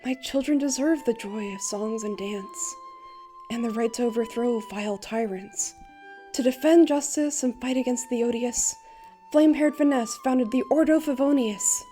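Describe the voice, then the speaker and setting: Woman acting a mellow, lyrical boyish bard. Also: on the high side, moderate pace, faint music behind, natural voice. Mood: lyrical, reverent. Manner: lyrical, poised storytelling. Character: gentle, playful boyish bard, secretly a wind god. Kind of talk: theatrical fan manga dub narration.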